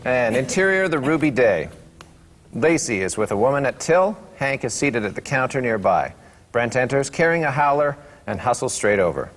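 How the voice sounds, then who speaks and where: A man's voice: medium pitch, expressive, normal speed, a bit bright, soft background music. Man reading in a reverberant hall. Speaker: stage-direction reader. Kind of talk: reading script directions aloud at a table read.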